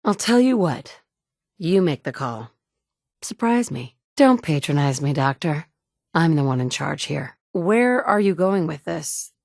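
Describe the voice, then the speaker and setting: A tough, level-headed survivor who gives it to people straight, with no fuss and a dry edge of humor. Her voice sits a little low for a woman, close-miked and completely dry. It is game dialogue delivered plainly and with confidence. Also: very animated, measured pace, gravelly, darkish tone, no room sound, full character voice. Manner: direct, matter-of-fact. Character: capable, dry-witted woman survivor and leader. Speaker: player character. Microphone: close studio mic.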